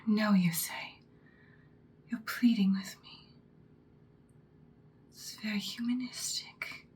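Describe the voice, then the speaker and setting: A woman voicing an imperious, seductive ancient vampire in a breathy, dramatic whispered ASMR roleplay. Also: mid-range voice, darkish tone, lightly acted. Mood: wary, intrigued. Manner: slow, deliberate, dramatic. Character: ancient female vampire, seductive, imperious, menacing yet protective.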